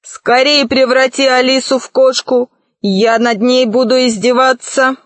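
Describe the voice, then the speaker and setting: Woman voicing a slow, bossy pirate rat villain. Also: high voice, little pitch movement, no room sound. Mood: gloating. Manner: commanding, scornful. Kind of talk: children's storybook reading.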